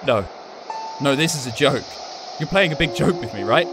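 A young actor playing a confused, panicky student ghost in a radio drama, halting, agitated and disbelieving. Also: medium pitch, lively intonation, music in the background, lightly acted, rough recording. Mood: angry disbelief.

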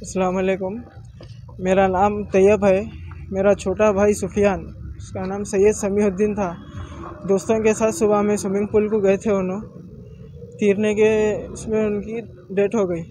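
A young man talks to the press on an outdoor street into a bunch of news microphones. His voice sits high and stays flat, with a soft, mellow tone. He speaks haltingly, repeats himself, and slips English words into his speech. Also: poor audio. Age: young adult. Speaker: bereaved brother giving a statement. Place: outdoors on a street before a crowd. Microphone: cluster of press microphones.

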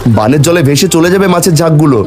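A man's voice: on the high side, lively intonation, fast talker, natural voice. A man playing a poor, caring village fisherman and father in a cartoon, speaking earnestly. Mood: eager, caring. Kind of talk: cartoon story dubbing. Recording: loud music behind the voice. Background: music.

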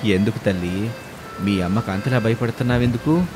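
A man voicing a gentle, affectionate middle-aged village father in a tender, soothing way. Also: on the low side, normal speed, natural voice. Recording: music bed behind voice.

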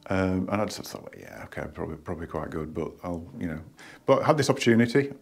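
A hoarse man in his fifties with a northern English accent, talking casually into a camera held close in a living room. His voice sits fairly low, and he rambles and restarts often, dropping in plenty of ums. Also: expressive, fast talker. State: hoarse from a cold. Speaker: hi-fi shop owner reviewing speakers. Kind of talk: casual product review. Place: living room at home, indoors.

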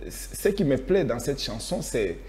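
A man's voice: medium pitch, big pitch swings, unhurried, darkish tone. A Congolese man hosting a talk show, telling history in a casual, repetitive storytelling way and mixing French with Lingala. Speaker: host.

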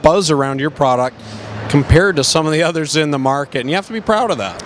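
A man interviewing a guest on a handheld mic at an indoor expo, asking measured, friendly questions. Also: fairly high pitch, lively intonation, a little hiss or hum. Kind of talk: casual interview. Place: busy indoor expo hall.

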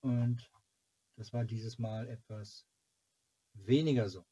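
A man's voice: medium pitch, steady tone, slow pace, warm tone, breathy edge, muffled recording. A man in his forties chatting casually to viewers as a channel host, rambling and thinking aloud. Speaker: host of a children's TV fan club channel. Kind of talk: casual vlog chat to viewers. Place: small cluttered room at home, indoors. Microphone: camera or webcam at arm's length.

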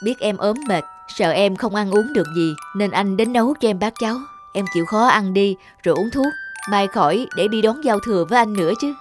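A woman's voice: medium pitch, fairly quick, soft background music, lightly acted. A woman voicing a devoted young man in love in a cartoon, plain-spoken and earnest. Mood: tender. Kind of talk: cartoon dubbing.

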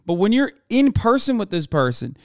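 Exasperated streamer with a crisp voice. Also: male voice, high voice, very animated, moderate pace, no echo, studio quality. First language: Turkish. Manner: profane, exasperated, emphatic. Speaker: streamer reacting to news clips. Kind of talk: casual reaction commentary. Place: home streaming room. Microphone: studio microphone on arm.

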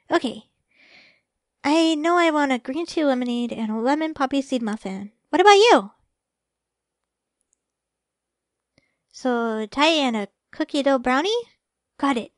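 A high, close and dry young female voice acted by a woman plays a sweet girlfriend in a romantic ASMR roleplay. She is shy and affectionate, speaking softly and hesitantly, easily flustered. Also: no echo. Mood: hesitant, earnest. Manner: soft, hesitant, affectionate, stammering when flustered.

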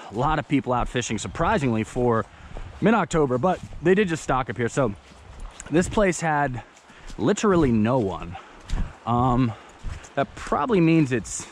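A man's voice: mid-range voice, slight background noise. Chatty young man fishing by a creek. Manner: relaxed, chatty, enthusiastic about fish. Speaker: fishing vlogger. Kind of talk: casual outdoor commentary. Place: outdoors by a creek and river. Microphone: action camera on the body.

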